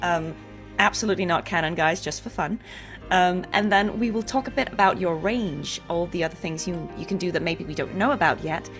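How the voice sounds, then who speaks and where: A woman with a lowish voice hosts an interview show. She speaks in a casual, conversational way, with faint music underneath. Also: brisk, fairly bright tone.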